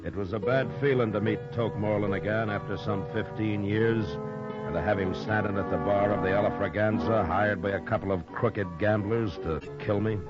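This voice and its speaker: Man acting a very deep-voiced, weary western marshal. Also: steady tone, normal speed, close to an everyday voice. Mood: somber, reflective. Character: steady world-weary western marshal, middle-aged man. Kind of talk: 1950s radio western acting and narration. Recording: muffled old radio recording with music.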